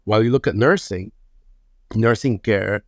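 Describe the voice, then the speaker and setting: A gravelly, fairly low-voiced man in his fifties, from Lebanon, tells his story as an interview guest. He talks unhurriedly and often repeats a word while he finds the next phrase. The sound is close and dry, with no room around it. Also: normal speed, no echo. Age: 50s. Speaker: guest, hospital chairman.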